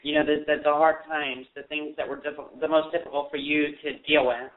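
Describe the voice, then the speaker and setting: A man's voice: a little high, flat delivery, brisk. A man co-hosting a radio show, asking questions hesitantly and rephrasing them with many ums. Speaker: radio co-host. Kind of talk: call-in radio interview. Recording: phone-like lo-fi sound.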